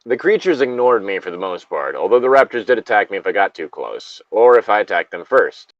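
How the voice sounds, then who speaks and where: A man's voice: lowish voice, big pitch swings, a little fast, no echo, muffled recording. A man chatting casually with friends, swearing freely and prone to laughing. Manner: crude, sweary, given to laughing. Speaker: co-host of a group creepypasta reading. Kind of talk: casual banter with friends between read-aloud story passages.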